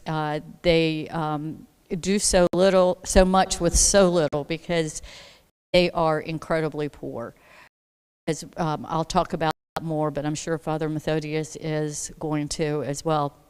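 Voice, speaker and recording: Low-pitched woman, halting introduction, crisp dry close sound. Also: dry recording. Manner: halting, many fillers and restarts. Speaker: parishioner introducing the guest speaker. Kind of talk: informal introduction. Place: church.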